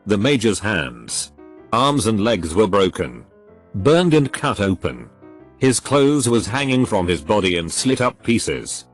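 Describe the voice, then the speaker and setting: A man with a fairly low voice reads stories aloud as scripted narration, his pitch rising and falling in a lively way. He spells abbreviations out letter by letter. Soft music plays faintly behind him. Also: takes it slowly. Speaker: narrator reading forum posts. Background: faint background music.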